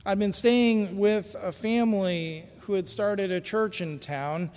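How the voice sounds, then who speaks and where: An American pastor with a notably high voice tells a story from the pulpit in a relaxed, conversational way, often pausing on small fillers. His words ring out with a long reverb in a church sanctuary. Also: clear, resonant tone. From United States. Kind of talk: sermon with personal story.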